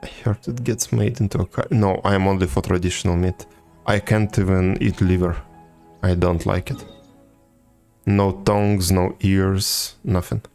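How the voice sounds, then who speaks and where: A man with a low voice talks casually to viewers while streaming a game. His delivery is halting, with repeated words, and faint music plays behind him. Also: steady tone, a little mellow. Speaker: video game livestreamer. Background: video game music.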